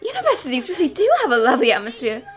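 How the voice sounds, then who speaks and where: A woman's voice: sits high, wildly swooping pitch, racing, faint music behind. A woman voicing a cheerful, confident young female detective with a breezy, playful manner in a cartoon dub. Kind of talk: animated series fan dub.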